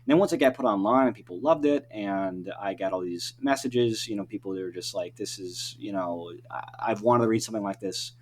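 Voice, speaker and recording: A man's voice: fairly low pitch, a little fast, few pauses. A man talking casually as an interview guest, rambling and breaking off his own sentences with frequent filler phrases. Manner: rambling, self-interrupting, peppered with "you know" and "like". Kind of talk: casual podcast interview chat. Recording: clear background noise with hum.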